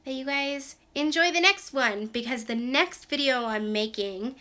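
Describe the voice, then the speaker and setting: A woman's voice: sits high, measured pace, sharp tone. A young woman with a Canadian accent, chatting casually to camera as a craft vlogger in her small bedroom craft room, with lots of "like" and "um".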